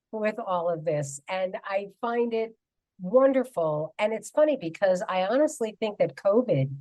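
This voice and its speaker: A woman's voice: medium pitch, darkish tone, dry recording, rough recording. A woman in her fifties hosting a radio interview show, emphatic and enthusiastic as she introduces and questions her guest.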